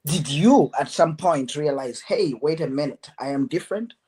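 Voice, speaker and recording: A woman hosting an interview, asking questions and giving gentle, affirming prompts. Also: sits low, lively intonation, normal speed, breathy edge. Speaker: host.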